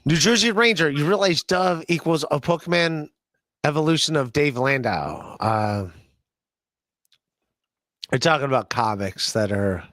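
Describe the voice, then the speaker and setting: A man's voice: a little high, animated, a little slow, pauses often, a bit bright, no room sound. A man hosting a podcast, who reads out viewer comments and teases his guest in an easygoing way. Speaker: podcast host. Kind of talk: casual podcast chat.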